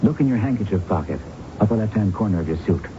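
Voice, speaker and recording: A man acts as a secretive, lovelorn figure in an old radio play. His voice is low and measured as he confides. The broadcast sounds muffled, with clear noise and rumble. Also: lively intonation, natural voice. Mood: confiding. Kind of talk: 1970s radio drama acting.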